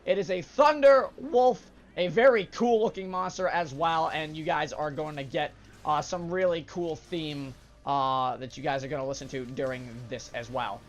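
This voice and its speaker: A young man with a hoarse, sore-throated voice, casually commenting on a game he plays, with filler sounds. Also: fairly high pitch, moderate pace, few pauses, a little hiss or hum. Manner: casual, upbeat explanatory, frequent "uh" fillers. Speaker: gaming channel host. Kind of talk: let's play commentary. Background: game music.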